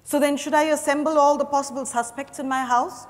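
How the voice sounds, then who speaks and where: A woman's voice: sits high, fairly even intonation, fairly quick, close to an everyday voice. A woman acting a proud, defiant businesswoman suspect in a theatrical dramatized stage reading. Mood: cool, evasive. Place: large hotel ballroom stage. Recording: echoey hall, picked up from the audience.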